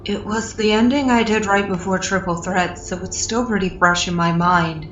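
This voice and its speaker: A woman's voice: medium pitch, moderate pace, a bit bright, roomy sound, recorded from a distance, a little hiss or hum, natural voice, poor audio. A woman voicing a weary but polite young male adventurer in a comic fan dub. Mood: subdued, apologetic. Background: music.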